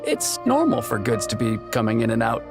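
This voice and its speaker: Very deep, creaky offhand worker. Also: female voice, wildly swooping pitch, a little fast, exaggerated voice. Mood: puzzled, offhand. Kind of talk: video game voice acting. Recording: game music behind voice.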